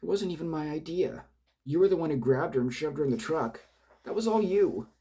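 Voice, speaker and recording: Panicked accomplice, high wailing voice, husky, strained, voiced by a male narrator. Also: animated, brisk, dark tone.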